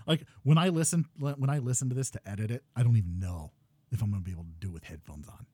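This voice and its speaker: A man in his thirties hosting a gaming podcast, ranting loudly with exasperation while losing his voice. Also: mid-range voice, fast talker, slightly rough, dry recording. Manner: loud exasperated ranting, swings to quiet muttering. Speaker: podcast host.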